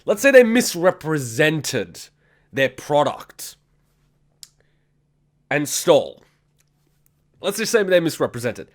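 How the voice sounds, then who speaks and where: A man's voice: a little high, very animated, slow pace, long pauses. A man, bluntly ranting and swearing as host of a reaction video. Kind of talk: casual reaction commentary.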